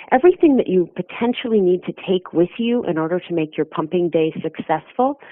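A woman's voice: low-pitched, no echo. Woman advising on a tinny line. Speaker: lactation consultant giving advice. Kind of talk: scripted advice segment.

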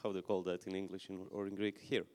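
A man's voice: sits low, flat delivery, fast talker, a little mellow, smooth. A man, casually joking as he moderates the audience questions. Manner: joking, informal. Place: large theater hall. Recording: long reverb of a large hall.

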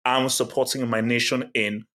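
A man with a mid-pitched voice in casual sports talk. He asks pointed questions and pushes his argument with emphatic repetition, as a podcast co-host. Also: level tone, normal speed, fairly bright tone.

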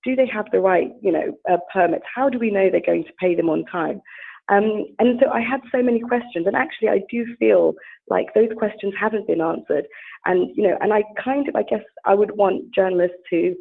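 A woman's voice: mid-range voice, brisk, gravelly. A woman from the Bahamas with a British accent, speaking frankly as a journalist guest, with many "you know" fillers and restarts over a phone line. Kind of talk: phone interview, candid conversational.

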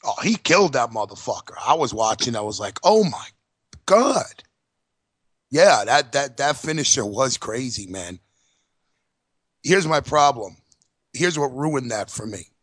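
Man, blunt podcast co-host, emphatic and profane, mid pitch, dry recording. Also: very animated, a little slow, pauses often, fairly bright tone, husky, no room sound. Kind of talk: casual wrestling chat.

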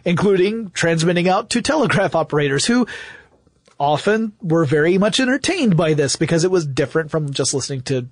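A man's voice: on the high side, expressive, brisk, no echo, clean studio sound. A man hosting a podcast, explaining and telling stories in a conversational way. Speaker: podcast host.

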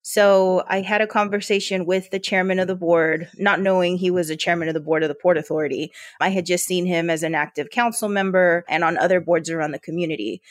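A woman's voice: on the low side, steady tone, brisk, hardly any pauses, dry recording, studio quality. An American woman chatting informally as an interview guest, telling personal anecdotes in a conversational way. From Yuma, Arizona, US. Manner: chatty, informal, often quotes dialogue. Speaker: interview guest, port authority leader.

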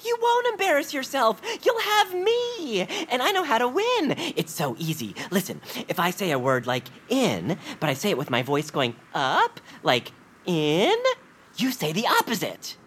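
A woman's voice: medium pitch, huge pitch swings, measured pace, a bit bright, a little hiss or hum. A woman voicing an eager, chatty young male bird in family audio fiction, gushing and rambling when flustered. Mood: pleading, excited.

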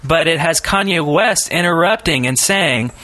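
A man's voice: a little high, moderate pace, pushed and bright, slight background noise. A man co-hosting a podcast in casual banter with his fellow hosts. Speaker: podcast co-host.

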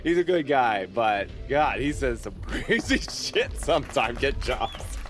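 Actor as cocky young man, dim, enthusiastic, slangy, very animated pitch, comedic audio drama, faint music. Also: unhurried, close to an everyday voice. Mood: cocky, breezy. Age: young adult. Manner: breezy, self-assured, slangy.

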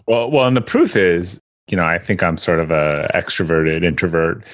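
A man's voice: sits low, moderate pace, dry recording, studio quality. A man hosting a conversational interview, thinking aloud and often restarting his phrases as he frames a point. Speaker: interviewer, host.

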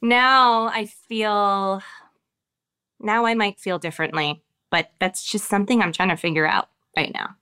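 An American woman, an actress with children of her own, giving reflective interview answers with many pauses and restarts. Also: mid-range voice, steady tone, sharp tone, clean tone. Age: adult with children. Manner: thoughtful, halting, many restarts and fillers.

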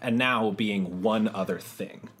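A man's voice: lowish voice, slow, a bit bright. A man hosting a podcast in casual, conversational talk. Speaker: podcast host and interviewer.

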